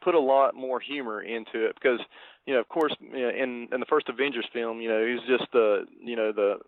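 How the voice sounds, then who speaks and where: A man's voice: medium pitch, fairly even intonation, fairly quick, dry recording. A man co-hosting a podcast, chatting easily about a film and leaning on lots of fillers. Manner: easygoing, full of "you know" fillers. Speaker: podcast cohost. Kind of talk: casual film review chat. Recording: dry, tinny phone-like line.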